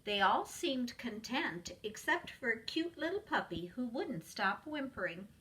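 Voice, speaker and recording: A woman reading a children's story aloud as a preschool teacher would, with expressive narration. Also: fairly high pitch, a little slow, distant mic, rough recording.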